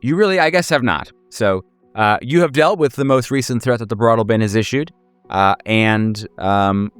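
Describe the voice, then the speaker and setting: A man speaking as game master, improvising horror narration and casual chat in a halting way, often rephrasing himself. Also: medium pitch, clear, resonant tone, no room sound, faint music behind.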